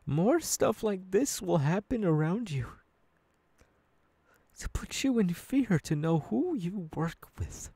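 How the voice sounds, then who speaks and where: A young supervillain boss , acted by a man, bullies his underlings in an ASMR roleplay. He is menacing and deliberate, with a husky, breathy voice and slow, widely swinging delivery, recorded close and dry. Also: high-pitched, very animated, mellow, dry recording, full character voice. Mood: threatening anger. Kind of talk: ASMR audio roleplay monologue.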